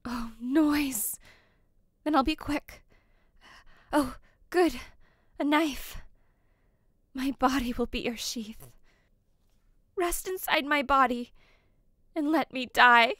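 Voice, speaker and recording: A woman's voice: sits high, takes it slowly, long pauses, a bit bright, no echo, studio quality, full character voice. A woman playing a lovestruck, grieving young bride in an audio drama, tender and theatrical, with a stubborn streak. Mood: desperate resolve. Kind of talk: audio drama acting of modernized Shakespeare.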